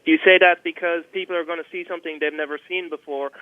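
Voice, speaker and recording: Man reporter, slightly high voice, press call questioning, very narrow telephone sound. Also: fairly even intonation, fast talker, no room sound. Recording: muffled narrow phone line.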